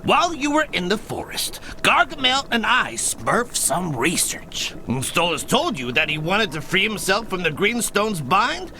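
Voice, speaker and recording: An old, kindly leader of tiny cartoon folk in a game. The voice is high and very bright, swinging widely in pitch. He stays reassuring and in command. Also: wildly swooping pitch, measured pace, few pauses, exaggerated voice. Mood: firm, explanatory. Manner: reassuring, authoritative. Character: elderly male Smurf village leader, kindly and calm. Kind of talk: video game cutscene acting.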